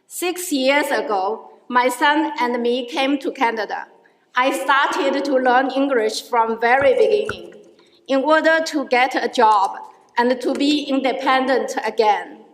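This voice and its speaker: Middle-aged woman with a Chinese accent, high voice, careful, halting rally speech, echoey hall. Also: a bit bright. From China. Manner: halting, careful, with frequent restarts. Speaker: adult education student speaking at a rally. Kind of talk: prepared public speech. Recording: echoey hall with long reverb.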